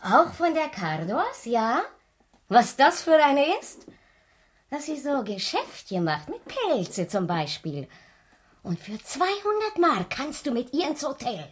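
A woman's voice: sits high, big pitch swings, slow pace, heavily acted. Gossipy circus woman, swooping intonation. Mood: gossipy, insistent. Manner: gossipy, broken German. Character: gossipy middle-aged former circus snake dancer, theatrical and nosy. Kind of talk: radio play acting.

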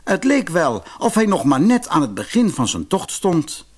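Lively, fairly high-voiced male storyteller. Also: moderate pace, breathy edge. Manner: expressive storytelling. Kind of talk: scripted fairy-tale narration for children.

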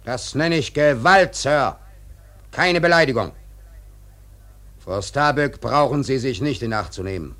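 An actor in a radio drama playing a dutiful first mate, formal, measured and respectful but stubbornly insistent. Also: male voice, medium pitch, lively intonation, a bit bright. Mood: restrained, pleading. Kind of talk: literary radio drama acting.

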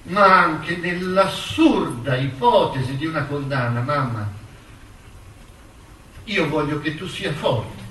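Defiant intellectual, high-pitched and echoing. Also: male voice, lively intonation, slow, fairly bright tone, a little hiss or hum. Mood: firm, tender. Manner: declamatory, precise, ironic. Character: defiant male intellectual on trial, articulate and proud. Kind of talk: documentary radio theatre monologue. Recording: roomy, distant stage sound with music.

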